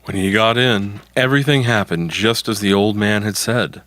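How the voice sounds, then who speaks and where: A man's voice: lowish voice, moderate pace, fairly bright tone. A man narrating a folktale from a script as the storyteller.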